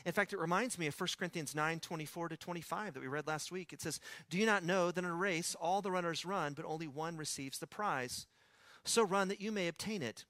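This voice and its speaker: A pastor with a West Texas accent preaching a sermon in a conversational storytelling way, with personal asides. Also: male voice, a little high, brisk, fairly bright tone, no echo.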